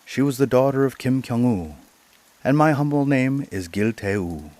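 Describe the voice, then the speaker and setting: A man's voice: medium pitch, lively intonation, normal speed, darkish tone, no room sound, pitched above the performer's own voice, close to an everyday voice. A man voicing an ancient, bewildered mountain woodman in a storybook, humble and formal in manner. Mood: humble, pleading.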